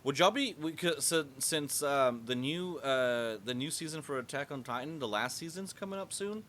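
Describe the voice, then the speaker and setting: A man hosting a casual podcast chat, animated and swearing casually as he talks. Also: fairly high pitch, normal speed. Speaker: podcast host.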